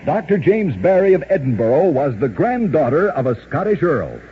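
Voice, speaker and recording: A man reading strange-fact stories in a brisk scripted radio announcer style, from an old radio show recording. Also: on the high side, big pitch swings, narrow, tinny audio, a little hiss or hum. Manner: brisk announcer delivery of odd facts.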